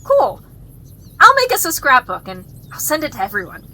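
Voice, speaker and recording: A woman as a very high, bubbly crewmate. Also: huge pitch swings, moderate pace, bright, forceful tone, full character voice. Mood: excited. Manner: perky, enthusiastic. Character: bubbly, excitable, sweet young female crew member. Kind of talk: sci-fi comedy audio drama acting.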